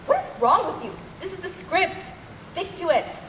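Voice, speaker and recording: Pompous high-voiced radio playwright played by a young woman. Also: animated, normal speed, a bit bright. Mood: imperious. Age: young adult. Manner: grandiose, bossy, declamatory. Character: pompous, domineering radio playwright and on-air announcer. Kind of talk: theatrical stage comedy acting. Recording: roomy noisy stage hall, far mic.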